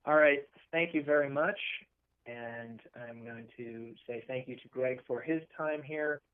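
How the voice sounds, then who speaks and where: A man with a smooth, clear voice and medium pitch moderates a press call in an orderly style. The sound is thin and phone-like. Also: measured pace. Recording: narrow phone conference line.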